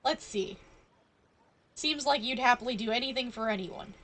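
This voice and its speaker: A woman's voice: on the high side, moderate pace, a bit bright. A woman giving casual live-stream game commentary in a wry, sardonic, teasing manner.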